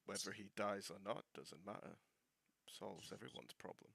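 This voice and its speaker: A man's voice: lowish voice, gravelly, mellow. A man whispering in character as a cold, scheming schemer in a tabletop game. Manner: whispering, slow and conspiratorial.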